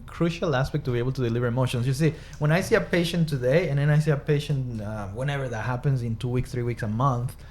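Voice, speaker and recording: A man's voice: medium pitch, fast, few pauses, darkish tone, a little hiss or hum. A man with a Spanish-speaking accent talking as a guest professional in a panel discussion, explaining things conversationally with analogies and rhetorical questions. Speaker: guest mental health professional.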